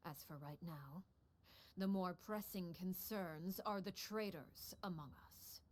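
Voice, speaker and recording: A woman voicing a stern, icily composed head of an assassin household in a video game, speaking low and measured, often near a whisper. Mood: coldly composed. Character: cold, stern mother figure called Father, head of an assassin household. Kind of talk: video game story dialogue.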